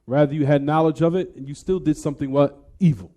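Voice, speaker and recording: Fast-talking, mellow male religious lecturer. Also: a little high. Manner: didactic, poses rhetorical what-questions to listeners. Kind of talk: religious lecture explaining a text.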